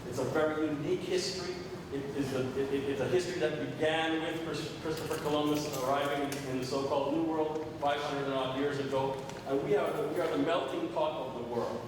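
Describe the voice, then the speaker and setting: A Jamaican-born man raised in Canada, a professor giving a frank, measured talk at a conference. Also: on the high side, fairly even intonation. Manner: frank, measured, with frequent restarts. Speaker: professor, symposium speaker. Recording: echoey hall, distant mic, noisy.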